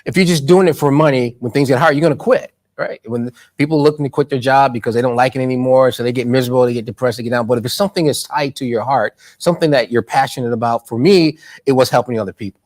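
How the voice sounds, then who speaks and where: A man's voice: medium pitch, quick pace, hardly any pauses, a little mellow. A man speaking as a guest in an interview about money, talking in an emphatic, motivational way with a preacher-like cadence and rhetorical questions.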